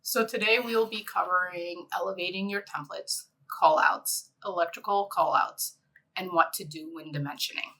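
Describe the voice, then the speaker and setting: A woman's voice: fairly low pitch, steady tone, normal speed, bright, forceful tone, rough recording. A middle-aged woman from Egypt, an interior designer presenting a conference session in a conversational way with frequent fillers.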